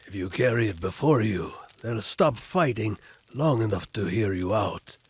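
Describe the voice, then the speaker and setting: An actor playing a dry, skeptical Norse skald in video game cutscenes. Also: male voice, on the low side, animated, moderate pace, slightly rough, dry recording. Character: wry Norse skald, sardonic and cautious. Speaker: game character.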